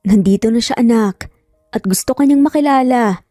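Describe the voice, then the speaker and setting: Woman acting a gentle, hushed Filipino mother. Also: no echo, clean studio sound, faint music behind, lightly acted. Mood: nervous, tearful. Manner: low, hushed, halting. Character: gentle, nervous young mother.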